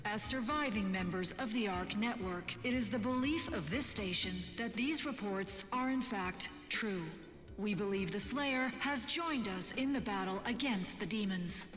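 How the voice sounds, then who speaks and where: An actress playing a sober resistance radio broadcaster in a video game, delivering war news in a formal, measured, earnest way. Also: female voice, medium pitch, fairly bright tone, lightly acted. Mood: hopeful. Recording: echoing broadcast with music bed. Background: music.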